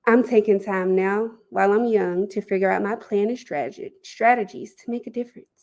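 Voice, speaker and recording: A woman performing a dramatic audition monologue as an earnest, reflective, idealistic young person, speaking deliberately. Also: mid-range voice, darkish tone, natural voice. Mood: reflective, determined.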